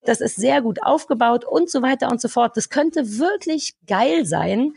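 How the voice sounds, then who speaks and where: A woman co-hosting a podcast, talking casually in an animated, blunt ranting manner with some swearing. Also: fairly high pitch, a little slow, vocal fry, husky, no echo, clean studio sound. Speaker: podcast co-host. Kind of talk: casual review chat.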